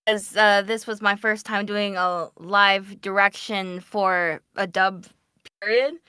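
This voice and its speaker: A woman casually telling anecdotes on a panel in a giggly, animated way, as a voice actor and dub director. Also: mid-range voice, slow, clean tone, dry recording, clean studio sound. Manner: giggly, animated storytelling with filler "like".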